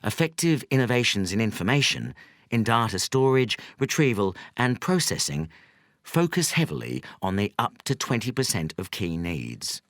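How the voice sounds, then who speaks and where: A man reading a nonfiction audiobook as narrator, in a scripted style with measured, deliberate phrasing. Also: on the low side, very animated, no echo, clean studio sound.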